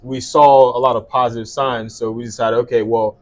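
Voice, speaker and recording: A man's voice: mid-range voice, level tone, a little fast. A man in his thirties, originally from South Sudan and Liberia, telling his story as an interview guest in an earnest way with many fillers. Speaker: guest, skincare business owner. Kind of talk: casual interview conversation.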